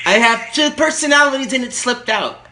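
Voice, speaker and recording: A man's voice: extremely high pitch, lively intonation, normal speed, pushed and bright, slight background noise. A man making a live prank phone call, mock-formal and provoking, rambling as he claims authority. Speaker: prank caller.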